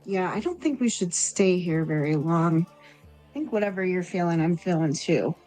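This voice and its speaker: A woman's voice: fairly low pitch, animated, moderate pace, warm tone, faint music behind, natural voice. A woman playing a caring, thoughtful village woman in a tabletop fantasy game, speaking hesitantly. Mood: thoughtful, uneasy.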